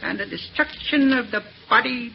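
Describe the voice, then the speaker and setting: A sinister old henchman in a vintage radio thriller, with a very high, creaky voice. He talks in a folksy, uneducated way, coolly callous. The recording is muffled with faint noise. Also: normal speed. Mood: matter-of-fact. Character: sinister, matter-of-fact old crematory worker, a crooked accomplice. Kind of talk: 1940s radio acting.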